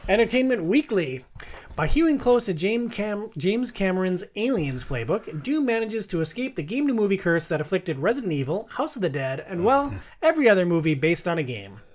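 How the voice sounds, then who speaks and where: A man's voice: high voice, very animated, a little fast. A man hosting a casual podcast chat, talking in an informal, slangy and joking way. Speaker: podcast host. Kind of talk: casual chat with read-out movie reviews.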